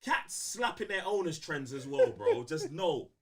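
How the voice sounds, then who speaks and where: A man with a London British accent in casual fan debate on a livestream, slangy and excitable, repeating phrases for emphasis. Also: fairly high pitch, measured pace, crowd noise. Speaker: co-host of a film fan livestream.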